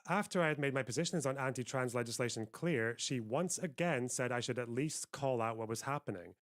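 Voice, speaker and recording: A man with a middling-pitched voice speaks as a debate commentator. He is articulate and insistent, laying out his argument point by point. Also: a little fast, fairly bright tone.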